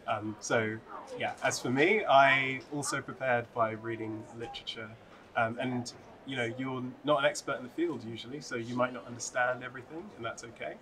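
Steady young man, crowd chatter behind. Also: medium pitch, normal speed. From United Kingdom. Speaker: recent PhD graduate. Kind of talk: answering interview questions to camera. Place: large open atrium, indoors.

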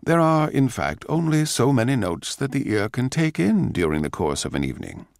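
A man's voice: medium pitch, sing-song, normal speed, gravelly, no room sound, studio quality, voice pushed up. Man acting a pompous Emperor, raised swooping voice. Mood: genial, condescending. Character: pompous, condescending male Emperor, genially vague.

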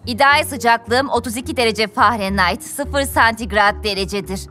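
Living frozen dessert for kids' cartoon: sweet welcoming temper, high piercing brightness, playful. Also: female voice, normal speed, faint music behind. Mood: bubbly. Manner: giggly, playful. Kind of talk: children's cartoon dialogue.

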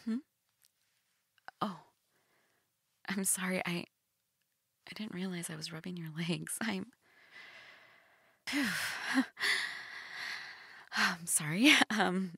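A young goth woman, acted by a woman in an intimate roleplay audio, teasing yet shy. She speaks slowly in a breathy, half-whispered voice with plenty of vocal fry, recorded close and dry. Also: fairly low pitch, no echo, exaggerated voice. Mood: wistful, shy. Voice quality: breathy, often dropping to a whisper. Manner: hesitant, teasing, soft-spoken.

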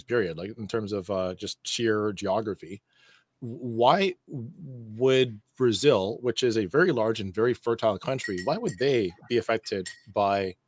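An exasperated man in his thirties with a medium-pitched voice, recorded dry. He comments casually and sardonically, with a blunt edge, as a show co-host. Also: no echo. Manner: exasperated, sardonic, profane, rhetorical questions. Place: indoor room, on a video call stream.

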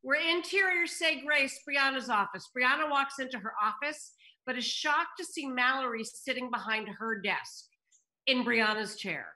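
A woman's voice: sits high, lively intonation, moderate pace, bright, forceful tone, low-quality recording. An older woman reading scripted stage directions as host of a table read, at home on a video call. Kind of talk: scripted narration. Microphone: computer mic on a video call.

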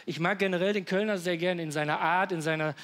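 A man's voice: high-pitched, steady tone, fast talker, fairly bright tone. A middle-aged man telling a comic anecdote on a studio panel, chuckling as he goes. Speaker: comedy panelist. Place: TV studio with audience.

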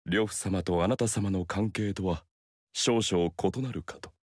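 Courteous loyal retainer. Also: male voice, deep voice, measured pace, dry recording, studio quality. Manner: formal, courteous, quietly ironic. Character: polite, loyal young male retainer. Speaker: game character voice. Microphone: dry studio mic.

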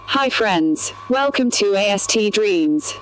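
A woman's voice: mid-range voice, lively intonation, slow, slight background noise, rough recording. A synthetic woman's voice reading out short typed text as text-to-speech.

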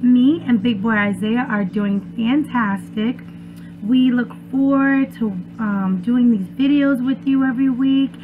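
A woman's voice: fairly high pitch, unhurried. A young woman speaking as a preschool teacher, reading aloud to children in a sing-song way and asking them questions. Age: young adult. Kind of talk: children's read-aloud lesson. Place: small room at home. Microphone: webcam or laptop at arm's length. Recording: muffled phone-like webcam sound, loud noise.

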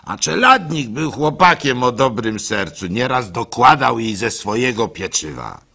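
Naive village baker, trusting, gravelly, unhurried, halting storyteller, radio drama. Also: male voice, medium pitch, exaggerated voice. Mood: wistful, confiding. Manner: expressive storytelling, halting and self-questioning. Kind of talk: dramatic first-person radio monologue.

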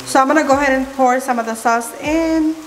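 A woman's voice: high voice, fairly quick, background noise. A woman in her thirties chatting casually through a cooking vlog, dropping Spanish phrases now and then. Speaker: vlogger. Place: large home kitchen. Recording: roomy kitchen echo with background music.

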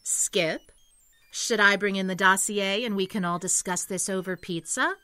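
An actress playing an earnest section chief of agents in an audio comedy, measured and sincere. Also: female voice, medium pitch, bright, forceful tone, no echo, lightly acted. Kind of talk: audio comedy drama acting.

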